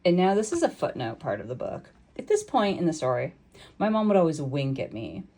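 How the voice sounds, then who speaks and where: Low-pitched woman, playful and informal. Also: very animated, a little fast, dark tone, slightly rough. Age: 30s. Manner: playful, informal, self-deprecating. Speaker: amateur storyteller. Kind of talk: singing and reading a children's picture book, chatty asides.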